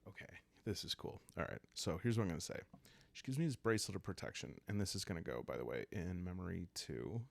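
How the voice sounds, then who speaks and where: A man hosting a solo roleplay game playthrough, calm and soft-spoken, improvising the story and thinking aloud as he goes. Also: deep voice, fast, gravelly, hardly any pauses, dry recording. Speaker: host playing a solo journaling roleplay game.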